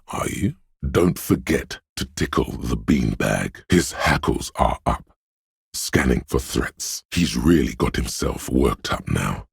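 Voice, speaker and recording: Very deep, gravelly, menacing inner voice. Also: male voice, no echo, studio quality, full character voice. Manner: slow, deliberate, ominous urging. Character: menacing inner voice of fight-or-flight instinct, paranoid and aggressive. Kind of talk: video game character voice lines, narrating and urging.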